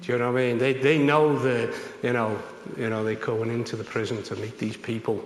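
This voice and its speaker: A man preaching an informal sermon in a rambling, conversational way, his voice a little croaky. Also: medium pitch, little pitch movement, fairly quick, roomy sound, in a large hall, rough recording. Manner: conversational, rambling with many fillers. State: slightly croaky voice.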